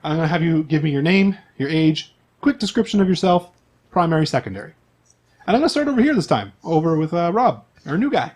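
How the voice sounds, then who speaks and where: Higher-voiced man running a tabletop game. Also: very animated, fast, darkish tone. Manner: joking, conversational, explanatory. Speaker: game master. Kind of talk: casual tabletop role-playing narration and explanation.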